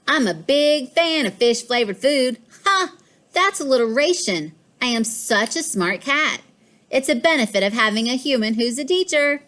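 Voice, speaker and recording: A woman's voice: high voice, lively intonation, normal speed, fairly bright tone, pitched above the performer's own voice. A woman voicing a smug, mischievous pet cat in a storybook, with a boastful, emphatic delivery. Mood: smug, playful. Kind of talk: storybook read-aloud.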